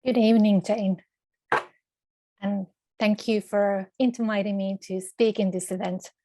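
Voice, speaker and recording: A woman's voice: mid-range voice, fairly even intonation, unhurried, warm tone, no echo. A woman from Finland, not an English speaker, giving an earnest, careful talk as a guest speaker. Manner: earnest, careful, slightly formal phrasing. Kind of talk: online presentation.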